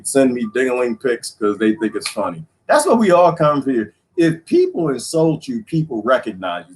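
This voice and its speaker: A man hosting a casual livestream, rambling and joking with viewers, laughing often, his voice going hoarse. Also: mid-range voice, a little mellow. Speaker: livestream host.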